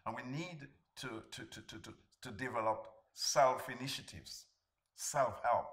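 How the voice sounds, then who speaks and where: A man's voice: medium pitch, a little slow, fairly bright tone. A British man in a documentary interview, speaking candidly and stumbling over repeated words. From Britain.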